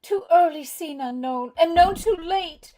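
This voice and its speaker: A woman's voice: very high voice, measured pace, dark tone. A woman playing a lovestruck, anguished young heroine in a theatrical table read over a video call. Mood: tearful despair.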